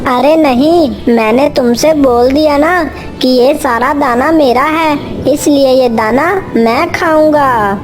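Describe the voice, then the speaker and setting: A woman plays a sly, greedy male bird in a children's cartoon. The voice is high and the words come slowly. Faint music sits underneath. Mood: possessive. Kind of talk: Hindi children's cartoon story acting.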